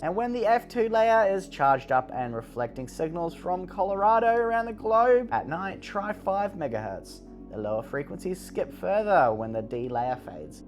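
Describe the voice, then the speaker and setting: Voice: mid-range voice, darkish tone. A video presenter delivering scripted explainer narration.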